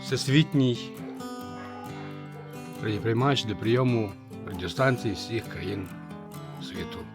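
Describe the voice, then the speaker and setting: A man with a low voice gives a casual, hands-on demonstration as a radio restorer. He talks haltingly, half to himself as he works. Music plays clearly behind him.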